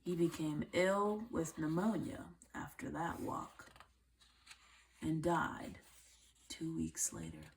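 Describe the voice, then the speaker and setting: Lady reading a kids book out loud, really slow, bit of gravel in her voice. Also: deep voice. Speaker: reader of a children's picture book. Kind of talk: scripted read-aloud narration.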